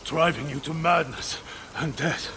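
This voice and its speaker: A man's voice: on the high side, a little slow, vocal fry, heavy background noise. An actor playing a zealous, menacing warrior shaman in a video game, with solemn, threatening delivery. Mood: solemn threat. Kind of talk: video game villain acting.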